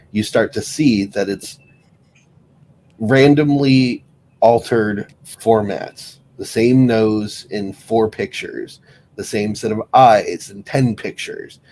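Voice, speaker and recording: A man's voice: lowish voice, darkish tone, rough recording. A man co-hosting a podcast, giving his opinions in casual discussion. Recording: clear background noise.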